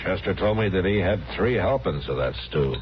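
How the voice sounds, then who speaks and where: An actor playing a calm, firm, laconic frontier marshal in an old-time radio western. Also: male voice, low-pitched. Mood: easygoing, helpful. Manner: laconic, unhurried. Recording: muffled, noisy old radio recording.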